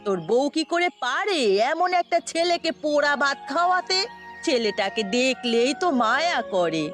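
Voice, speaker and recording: A woman's voice: high voice, expressive, moderate pace, fairly bright tone. A woman playing a sly, meddling older village aunt in an audio melodrama, gossipy and insinuating. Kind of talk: melodramatic Bengali audio story acting. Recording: music under the voice.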